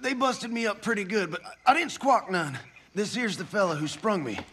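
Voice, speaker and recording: An actor playing a folksy, rough-and-ready rebel outlaw in a video game. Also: male voice, high voice, lively intonation, moderate pace, a bit bright, slight background noise. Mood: earnest. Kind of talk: video game western acting.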